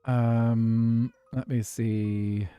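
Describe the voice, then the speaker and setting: A man's voice: medium pitch, flat delivery, very slow, warm tone, clean tone, faint music behind. Posh-sounding Dublin Irish man chatting. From Dublin, Ireland. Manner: chatty, thinks aloud, laughs often. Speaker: streamer playing a block-building game. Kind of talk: casual gameplay chat.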